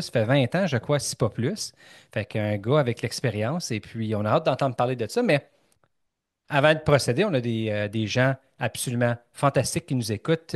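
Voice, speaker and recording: Voix d'homme au timbre moyen, ontarien, animateur jovial et causant. Enregistrement sec, sans écho de pièce, qui donne une présence proche et directe. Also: male voice, medium pitch, no echo, clean studio sound. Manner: chatty, upbeat, many fillers and restarts. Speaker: podcast host. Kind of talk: casual interview chat.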